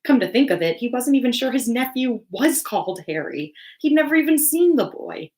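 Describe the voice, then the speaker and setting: A woman's voice: fairly high pitch, expressive, fairly quick, a little mellow, slight background noise. Woman reading a storybook expressively. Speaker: teacher reading a story aloud.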